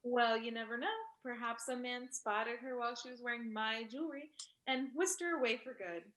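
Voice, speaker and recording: A woman's voice: a little high, steady tone, moderate pace, fairly bright tone, close to an everyday voice. A woman acting a vain, glamorous, wealthy socialite who gushes about herself in a staged play reading over a video call. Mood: gushing. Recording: roomy video-call audio.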